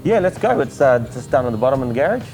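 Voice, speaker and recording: A man with a New Zealand accent, an event organizer, answering interview questions in an earnest, halting way with many fillers. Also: medium pitch, sing-song, over music. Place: outdoors at a motorsport event.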